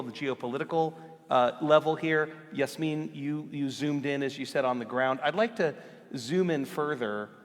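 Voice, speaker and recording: A man moderating an onstage conversation at a live event, earnest and halting, with frequent restarts. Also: a little high, fairly bright tone, in a large hall. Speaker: moderator, organization CEO. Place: large hall, live event.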